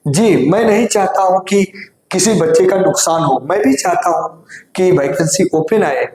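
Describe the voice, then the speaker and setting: A man who teaches exam coaching gives students a news update in an earnest voice. He sounds slightly hoarse and keeps urging them to listen carefully. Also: sits high, normal speed, darkish tone. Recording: roomy echo.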